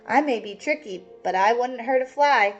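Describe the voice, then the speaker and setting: A woman voicing a sly big male wolf who flatters and coaxes as he poses as a sweet granny in a storybook. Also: on the high side, moderate pace, highs cut off, soft background music, close to an everyday voice. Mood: sly flattery. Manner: coaxing, flattering.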